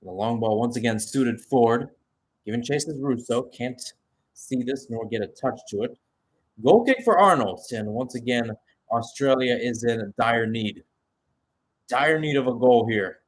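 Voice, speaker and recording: A man's voice: medium pitch, unhurried, poor audio. A man giving live radio-style football commentary, switching between short clipped calls and conversational, analytical asides.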